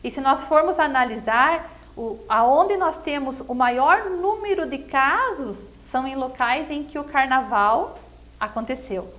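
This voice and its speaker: High-pitched Brazilian woman, earnest commentator. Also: animated, measured pace, a bit bright. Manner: earnest, explanatory, frequently seeking agreement with tag questions. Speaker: interview guest, political commentator. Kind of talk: conversational political commentary.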